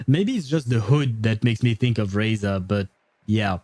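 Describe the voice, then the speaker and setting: Guy streaming a game, mellow warm voice, casual blunt n sweary, dry close mic. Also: fairly low pitch, animated, moderate pace, dry recording. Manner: wry, blunt, profane. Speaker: livestreamer.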